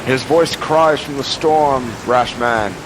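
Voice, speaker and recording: A young man playing a devout old priest in a radio drama, grave, measured and pious. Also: mid-range voice, background noise, lightly acted. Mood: grave pleading. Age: young adult. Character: devout old priest, calm and self-sacrificing.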